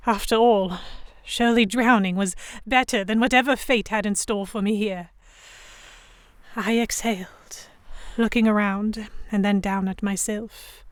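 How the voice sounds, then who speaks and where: Lady voicing young victorian noblewoman telling her story, measured and literary, reflective, really dry recording. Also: on the high side, dry recording. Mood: weary, reflective. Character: young English noblewoman captive, reflective, stubborn, Victorian era. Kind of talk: first-person scripted narration in an audio drama.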